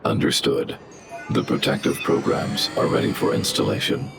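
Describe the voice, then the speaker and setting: A man voicing a large, protective robot in a video game, speaking in a formal, measured, unemotional way with a gravelly quality. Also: close to an everyday voice. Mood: calm, logical. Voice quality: very deep, gravelly. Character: large protective male robot, calm, coldly logical. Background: background music.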